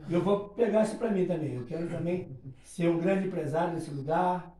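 A middle-aged Brazilian man giving an informal testimony at a home worship gathering in halting, repetitive phrases, recorded by a phone across a small room. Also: on the high side, mellow, reverberant, recorded from a distance. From Rio de Janeiro, Brazil. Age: middle-aged, around 50s. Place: small living room, indoors. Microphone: phone held a few metres away.

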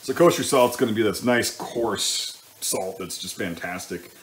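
Male home cook, lowish voice, informal running commentary over audible sizzle and kitchen noise. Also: measured pace. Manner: informal, thinking aloud, self-correcting. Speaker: home cook hosting a cooking show. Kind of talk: casual cooking commentary while working. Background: sizzling pan and stirring sounds.

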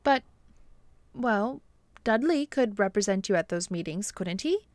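An actress playing a kindly, long-suffering bishop's wife in an audio drama, gentle and solicitous. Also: female voice, mid-range voice, expressive, measured pace, no room sound, natural voice. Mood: hopeful, let down. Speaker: actor. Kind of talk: radio-play style drama acting.

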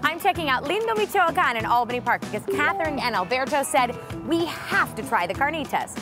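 A woman's voice: high voice, sing-song, fairly quick, over music. A woman in her thirties hosting a TV food segment in a grocery store and kitchen, upbeat and enthusiastic, on a lapel mic. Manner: bubbly, enthusiastic. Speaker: TV food show host.

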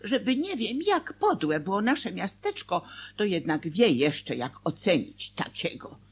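A fretful, husky wife. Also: female voice, mid-range voice, big pitch swings, unhurried, full character voice. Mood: gossipy, disapproving. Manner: hesitant, emotional, conversational. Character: fretful, worried wife tempted by money. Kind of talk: Polish radio play acting.

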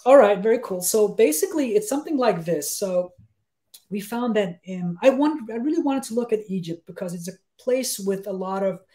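Mellow-voiced man. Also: sits high, lively intonation, normal speed. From Denmark. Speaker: guest expert, sleep researcher. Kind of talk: conversational explanation in an interview. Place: small room with bookshelves, on a video call.

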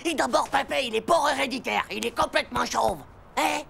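A woman's voice: high voice, brisk, vocal fry, a bit bright, slight background noise, full character voice. A woman voicing a cheeky, whiny little schoolboy in a French cartoon, full of slangy exclamations. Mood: indignant. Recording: music behind the voice.

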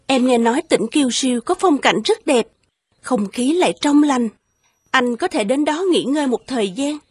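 A woman voicing a gentle, caring young wife with a soothing manner in an animated fairy tale. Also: high voice, normal speed, husky. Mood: encouraging.

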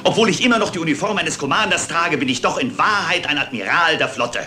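An actor playing a proud, embittered retired naval commander, a formal, self-important villain in a German radio play. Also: male voice, fairly high pitch, expressive, fast talker, pushed and bright, faint music behind. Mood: bitter, indignant. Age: older.

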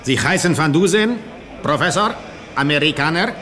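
An actor playing a gruff, brusque Russian secret policeman in a radio drama, speaking clipped broken German with a Russian accent. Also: on the high side, animated, normal speed, bright, forceful tone. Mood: curt, suspicious. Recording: echoing hall, noisy ambience.

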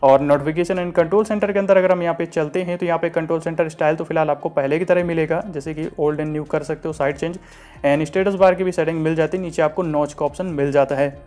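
An Indian man with a fairly high voice narrates a phone software review. He talks very fast in a repetitive, explanatory patter. Soft music plays behind him. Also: fairly even intonation. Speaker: tech reviewer. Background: light background music.

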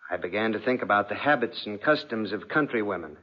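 Jealous nobleman, 1940s radio drama: icy courtesy, mock tenderness, wide-swinging pitch, muffled vintage sound. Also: expressive, husky. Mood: silky suspicion. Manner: suave, mock-affectionate, deliberate. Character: menacing, coldly polite jealous aristocratic husband. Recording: dull, band-limited 1940s radio recording.

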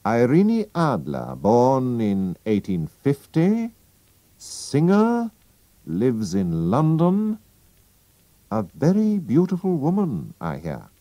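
A sharp, calm detective , as a man reads him aloud speaks in a brisk, sure-of-himself way. The voice sits clearly higher than the reader's own and moves up and down a great deal. It is a storybook reading. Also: no echo, exaggerated voice. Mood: assured.

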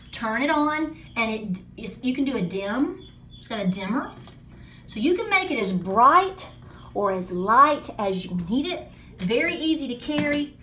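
A woman's voice: sits high, a bit bright, reverberant, slight background noise. A woman hosts a casual homesteading product review vlog, talking straight to viewers in a chatty, emphatic way from a small bathroom, recorded on a handheld phone. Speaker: homesteading channel host.

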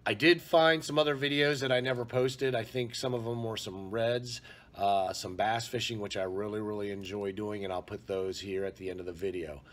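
A man in his forties giving a relaxed, casual vlog recap from a small room at home, speaking into a webcam. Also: fairly low pitch, normal speed. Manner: easygoing, relaxed talk with fillers. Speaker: vlogger. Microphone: webcam at arm's length.

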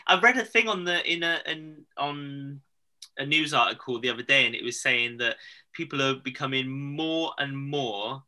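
A man's voice: medium pitch, sharp tone. A man in his thirties hosting a casual video-call chat, rambling and laughing often. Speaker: podcast host. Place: home room, indoors.

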